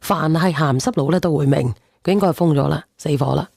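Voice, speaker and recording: A woman host with a fairly low voice chats casually on a call-in stream. The sound is very dry, and her talk is earthy and bantering. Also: fast, gravelly, darkish tone, breathy edge, no echo. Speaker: live-stream host taking calls.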